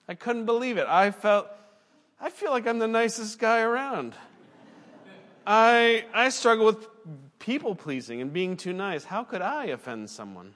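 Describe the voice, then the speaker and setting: A man's voice: on the high side, a bit bright, in a large hall. A man, a pastor and former missionary, preaching a sermon in an earnest, conversational way and now and then correcting himself mid-sentence.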